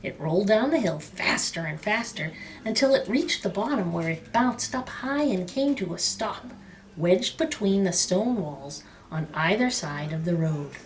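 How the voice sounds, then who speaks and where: A woman storyteller reading a picture book aloud with expressive, dramatic and emphatic phrasing. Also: fairly low pitch, measured pace.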